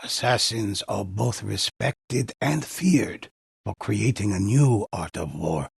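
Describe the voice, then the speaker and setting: An actor playing a mysterious, solemn older male storyteller in a video game, telling legends in a measured, portentous way with a husky, gravelly voice. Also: lowish voice, expressive, dry recording, clean studio sound, exaggerated voice. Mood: reverent, hushed intensity. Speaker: storyteller narrator. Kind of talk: dramatic scripted storytelling narration for a video game.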